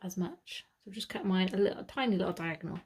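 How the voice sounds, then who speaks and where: A woman's voice: on the low side, fast, a little mellow, slight background noise. A British woman giving a step-by-step sewing tutorial, chatting casually and correcting herself as she works. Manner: chatty, self-correcting, thinking aloud.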